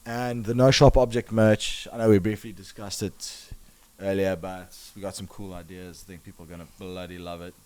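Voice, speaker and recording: Man, South African accent, low-pitched, enthusiastic podcast host, casual swearing. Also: flat delivery, normal speed, darkish tone, clean tone, poor audio.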